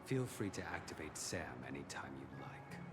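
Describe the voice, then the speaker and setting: Very deep, stoic outlaw. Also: male voice, normal speed, loud music behind the voice. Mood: cool, stern. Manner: terse, grave, deadpan. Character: cool, world-weary, stoic male outlaw in a sci-fi game. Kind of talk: video game voice acting. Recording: loud vehicle rumble behind voice. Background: vehicle driving noise.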